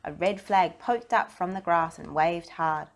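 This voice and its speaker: A woman reading a children's story aloud in an expressive narrating style. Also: on the low side, a little slow, background noise. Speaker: storybook reader.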